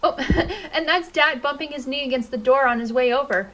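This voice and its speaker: A high, girlish young bunny big sister, acted by a woman for a children's bedtime story. She is caring and patient, talking in a childlike, reassuring way. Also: moderate pace, noisy background, lightly acted.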